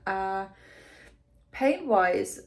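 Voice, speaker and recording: A young woman from the UK talking casually in a video diary, her nose blocked and congested, pausing for breath as she chats with many ums and likes. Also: medium pitch, animated, reverberant, soft background music. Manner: chatty, lots of ums and likes. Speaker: vlogger.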